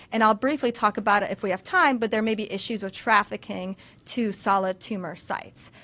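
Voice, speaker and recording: A woman's voice: mid-range voice, a bit bright. A woman physician giving a medical webinar lecture, explaining step by step with frequent "so" and restarts; English is her second language after Russian. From United States. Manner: explanatory, many "so" fillers and restarts. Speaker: physician presenter.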